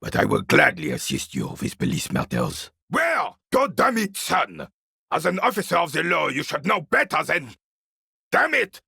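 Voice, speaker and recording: An actor playing a proud, bitter old royalist veteran in a video game, gruff and scornful, with a gravelly, raspy voice. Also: male voice, a little high, wildly swooping pitch, normal speed, bright, forceful tone, no room sound, clean studio sound, exaggerated voice. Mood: irritated. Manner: gruff, scornful, theatrical, barking at younger men. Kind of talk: video game character dialogue.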